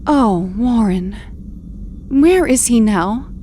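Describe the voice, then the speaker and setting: An actress playing an anguished but determined heroine in an audio drama, with an emotional, pleading delivery. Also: female voice, a little high, expressive, takes it slowly, dark tone, a little hiss or hum. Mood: shaken. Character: anguished, determined heroine back from presumed death.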